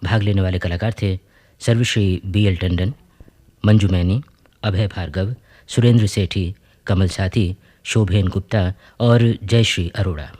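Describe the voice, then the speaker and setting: A man's voice: sits low, steady tone, a little slow, warm tone. A man reading scripted credits as a radio announcer in a formal style.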